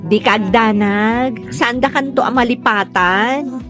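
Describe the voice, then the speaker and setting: Woman as earnest high-voiced young bride. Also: measured pace, over music, close to an everyday voice. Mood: pleased. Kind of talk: Ilocano comedy drama dialogue.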